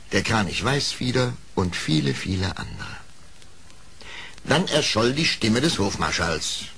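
A man narrating a scripted fairy tale in an expressive, measured storytelling manner. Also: low voice, breathy edge.

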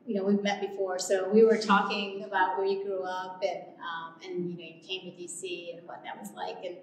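A woman's voice: medium pitch, level tone, normal speed. A woman around forty, hosting a conversational interview in a gallery, often restarting her phrases. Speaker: interviewer, host. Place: indoor museum gallery. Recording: roomy echo, distant mic, faint noise.

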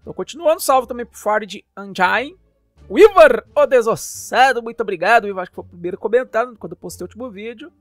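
A man's voice: extremely high pitch, expressive, fast, no echo, soft background music. A man with a Brazilian accent hosting a casual game showcase, talking in a jokey, playful, slangy way. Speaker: YouTube channel host reviewing games.

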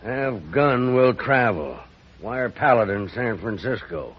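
An older man playing a gruff, suspicious Western rancher with a husky, gravelly voice, in a muffled 1950s radio drama. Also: mid-range voice, expressive, slow pace. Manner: folksy, blunt grumbling.

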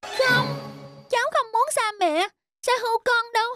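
A woman voicing a kind, earnest young peasant boy in a tender, childlike manner for an animated folk tale. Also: very high voice, moderate pace, loud music behind the voice. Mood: pleading.

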